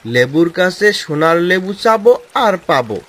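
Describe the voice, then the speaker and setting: Greedy cartoon bully ringleader, played by a man; slow taunting drawl, slightly high male pitch. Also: very animated, a bit bright, full character voice. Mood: greedy, excited. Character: greedy, bullying village rascal, scheming ringleader. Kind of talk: animated fairy-tale villain acting.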